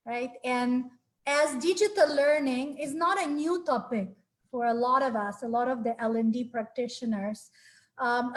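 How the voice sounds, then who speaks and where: High-voiced woman presenting a webinar. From Ukraine. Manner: friendly, earnest, with frequent fillers and restarts. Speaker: webinar speaker. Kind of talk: presenting a live webinar talk. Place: small home room. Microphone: laptop webcam on a video call.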